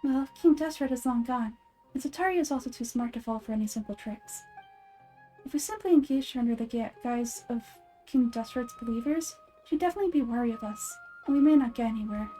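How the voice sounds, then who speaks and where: A woman voicing a gentle, thoughtful young girl in fan-made fantasy game dialogue. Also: sits high, fast, soft background music, lightly acted, rough recording. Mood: thoughtful, explaining. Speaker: amateur voice actor.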